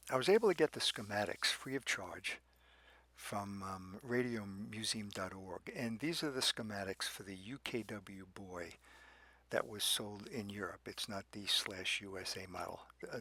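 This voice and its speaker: A man narrating an unscripted how-to about a repair, speaking methodically and haltingly in short phrases with pauses between them. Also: low-pitched, sharp tone, breathy edge, no echo. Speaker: radio restorer and presenter.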